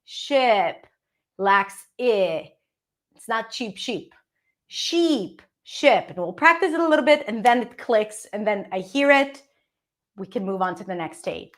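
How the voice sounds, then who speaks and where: A woman with an Israeli accent coaching English pronunciation in an energetic, emphatic teaching talk, modelling sounds as she goes. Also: medium pitch, unhurried. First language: Hebrew. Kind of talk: teaching webinar.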